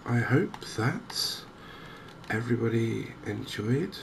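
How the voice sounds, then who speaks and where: A man giving softly spoken ASMR commentary on a game, hushed, hesitant and unhurried, close to the microphone. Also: mid-range voice, animated. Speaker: gaming video host. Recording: clear background noise.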